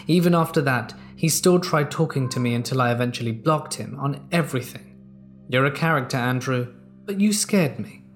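A man narrating submitted true horror stories in a steady, measured storytelling delivery. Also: medium pitch.

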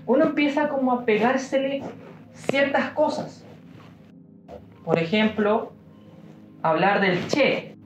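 A young man with a Chilean accent giving an informal devotional talk, musing aloud with pauses and fillers. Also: extremely high pitch, expressive, music in the background. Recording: roomy, dull-sounding room recording.

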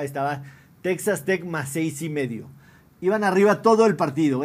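A man's voice: mid-range voice, a little mellow. A man with a Mexican accent hosting a sports show in a casual, slangy panel style.

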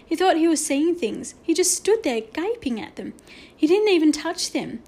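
A woman's voice: very high voice, lively intonation, takes it slowly, pitched above the performer's own voice, exaggerated voice. A woman voicing an anxious schoolboy in a storybook, small-voiced, insistent and pleading. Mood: anxious, defensive.

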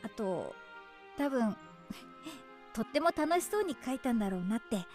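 A frank, loyal teenage girl talks in a high, animated voice that swings widely with feeling. Her delivery is open and plain-spoken, in radio drama style over faint music. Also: unhurried. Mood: moved. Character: frank, warm-hearted teenage girl, loyal best friend. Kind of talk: Japanese radio drama acting. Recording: studio drama with music underneath.

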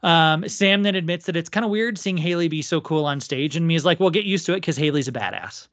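A woman's voice: sits low, a little fast, no room sound. Woman recapping casually in a dry, close sound. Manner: agreeable, frequent short affirmations. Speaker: podcast co-host.

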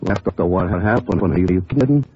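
A man's voice: low voice, normal speed, darkish tone, slightly rough. A man playing a nervous, talkative, streetwise taxi driver who was a crime victim, in an old radio drama. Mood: agitated. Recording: muffled, noisy old radio recording.

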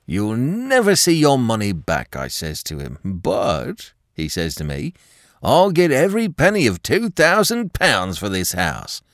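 Man voicing an old village gardener, folksy, obstinate, swooping pitch, dry sound. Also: huge pitch swings, measured pace, no room sound, full character voice. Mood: chatty. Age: older. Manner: folksy rustic dialect, chuckling. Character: old male village gardener, stubborn and placid.

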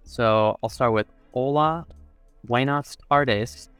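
A man's voice: mid-range voice, very slow, a bit bright, clean tone, no room sound, faint music behind. A man with an American accent, a native English speaker, chatting casually as an interview guest. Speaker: interview guest, resident physician. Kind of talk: casual, friendly interview chat.

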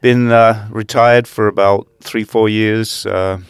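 An older man who grew up in England, a podcast guest talking easily about his life in a relaxed, rambling way. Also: on the low side, slow, clean tone, no echo, clean studio sound. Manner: easygoing, rambling. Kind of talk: casual conversation.

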